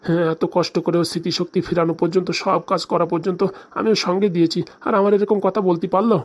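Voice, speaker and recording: A man's voice: high-pitched, level tone, fast talker. A man voicing a homesick, honest middle-aged uncle in a comedy cartoon, with a plaintive, earnest manner. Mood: hurt, plaintive. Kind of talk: Bangla comedy cartoon dialogue.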